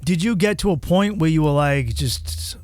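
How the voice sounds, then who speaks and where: A man's voice: fairly high pitch, lively intonation, normal speed, dry recording. A man hosting a podcast, speaking in casual conversation, candid and profane, telling stories and acting out quoted lines. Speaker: podcast host, comedian.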